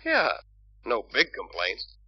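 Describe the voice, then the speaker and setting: A man's voice: medium pitch, wildly swooping pitch, takes it slowly. An American man playing a plain-spoken, thrifty used-car buyer in an old training film, conversational and opinionated. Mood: easygoing, assured. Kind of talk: acted dialogue in a training film. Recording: dull vintage film track, faint noise.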